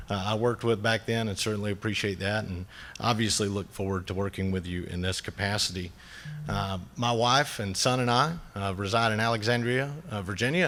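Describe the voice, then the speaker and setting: A man's voice: fairly low pitch, fairly bright tone. Southern-accented man giving a conference speech. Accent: Southern US, North Georgia. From North Georgia, USA. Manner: plain-spoken, frequent "uh" fillers, light jokes. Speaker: agricultural lobbyist. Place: meeting hall.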